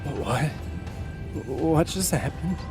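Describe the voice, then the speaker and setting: A man's voice: on the high side, expressive, drawn-out words, over music, exaggerated voice. A man playing a hot-tempered, overbearing warrior king in an audio drama, commanding and indignant. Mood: shaken.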